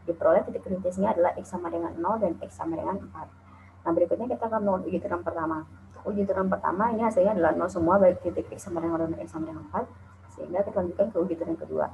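A woman from Indonesia talks through a calculus lecture in a lowish, gravelly voice, pausing on "eh" and "nah" as she works through each step. The sound is tinny and narrow, like a phone line, with a faint hiss behind it. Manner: steady explaining with frequent "eh" and "nah". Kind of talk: recorded slide lecture. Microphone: voice-over on slides.

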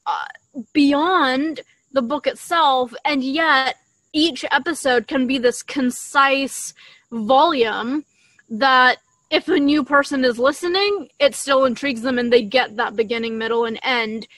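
A young woman with a high-pitched voice chats as a podcast co-host. She talks enthusiastically in a casual, conversational way. The sound is dry, picked up by a desktop microphone. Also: a little slow, a bit bright, dry recording, rough recording. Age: young adult. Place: outdoors in a leafy garden. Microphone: desktop condenser mic shared between two.

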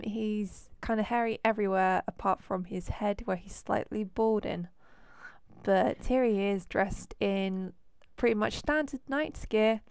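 A woman with a cold, hoarse and losing her voice, chatting casually as she shows off characters in a life-sim game. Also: medium pitch, dry recording, clean studio sound. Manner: chatty, rambling with fillers. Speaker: let's play host.

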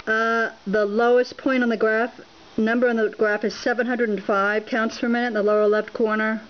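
A fairly high-voiced woman talks haltingly to her camera at home, filling gaps with "uh" as she reads numbers off a screen. The sound is dull and lo-fi, picked up by the camera's built-in mic, with a faint hiss underneath. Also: flat delivery. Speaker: home radiation monitoring vlogger. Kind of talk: unscripted on-camera walkthrough. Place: indoors at a home, beside a laptop.

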